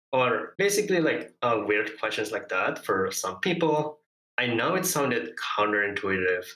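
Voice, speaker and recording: Earnest man, low and bright. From Indonesia. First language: Hokkien. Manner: earnest, measured, leans on "like". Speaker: guest, engineer. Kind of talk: reflective personal storytelling. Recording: echoey room.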